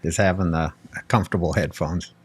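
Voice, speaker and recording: A man's voice: low-pitched, fairly even intonation, a little fast, husky, no room sound. An American man on a casual talk show panel, explaining things piece by piece. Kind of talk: casual chat.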